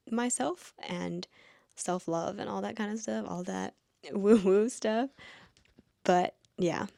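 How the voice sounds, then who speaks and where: A woman's voice: mid-range voice, gravelly, a little mellow, dry recording, clean studio sound. A woman in her late twenties musing aloud in a casual vlog, rambling with lots of "like" fillers. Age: late 20s. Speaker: vlogger. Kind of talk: reflective casual monologue.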